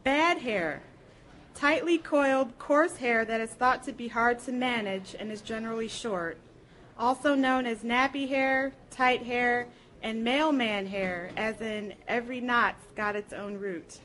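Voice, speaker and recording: Woman, fairly high voice, live storytelling read-aloud, short passages for an audience. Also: unhurried, fairly bright tone. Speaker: reader at a public library program. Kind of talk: reading folk tales and definitions aloud. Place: live public reading at a library event.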